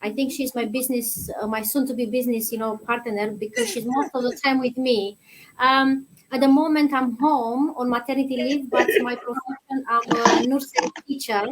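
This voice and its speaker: A woman's voice: fairly high pitch, fairly even intonation, normal speed, a little hiss or hum, poor audio. A woman in her thirties from Romania, a guest on an online show, talking in a friendly, chatty way with many fillers. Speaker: guest, nursery teacher. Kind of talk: interview answers.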